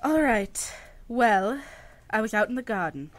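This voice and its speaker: A woman's voice: on the high side, lively intonation, a little slow. A woman acting an earnest, rambling, paranoid housewife in a radio comedy. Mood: nervous, secretive. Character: dotty, paranoid housewife convinced vegetables are alien invaders.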